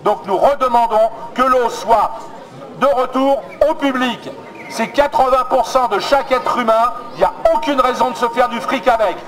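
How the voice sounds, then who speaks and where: Very high-voiced man giving an activist speech. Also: moderate pace, fairly bright tone, faint music behind. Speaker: protester speaking at a council meeting. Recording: public meeting with crowd noise.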